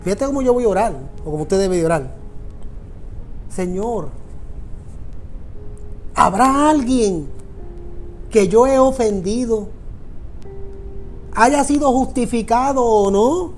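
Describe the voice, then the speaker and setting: Puerto Rican pastor preaching over soft music. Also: male voice, very high-pitched, lively intonation, slow, pauses often. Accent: Puerto Rican Spanish. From Puerto Rico. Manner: earnest, repetitive, speaks directly to listeners. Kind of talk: sermon on prayer.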